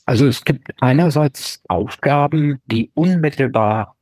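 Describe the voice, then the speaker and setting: A man who researches groups, answering interview questions in a measured, academic way and building his points step by step. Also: medium pitch, darkish tone, no echo. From Germany. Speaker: researcher guest.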